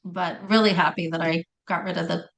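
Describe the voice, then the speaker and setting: A middle-aged woman with a raspy voice telling her personal story in conversation, often stopping to correct herself. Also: fairly low pitch, fairly even intonation, a little fast, fairly bright tone. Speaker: guest telling health story.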